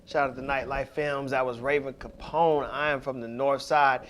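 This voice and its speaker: A man hosting a radio show in casual talk, loose and full of "you know" fillers as he gives shout-outs. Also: medium pitch, steady tone, moderate pace, a little hiss or hum. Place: radio studio.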